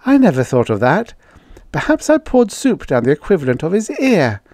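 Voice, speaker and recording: A man voicing a brisk, bossy, stubbornly practical woman settler in a story, coaxing and defiant by turns. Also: fairly high pitch, wildly swooping pitch, a little mellow, dry recording, voice pushed up. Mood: musing.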